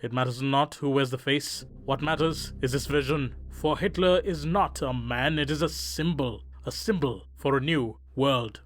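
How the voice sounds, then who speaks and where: An actor playing a fanatical, composed male villain in an audio drama, speaking in grandiose, deliberate speeches. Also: medium pitch, lightly acted. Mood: smug, fanatical.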